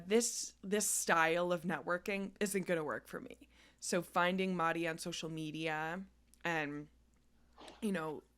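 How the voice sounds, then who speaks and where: Woman podcast host, lowish voice, casual chatty delivery with restarts. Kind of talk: casual conversational interview.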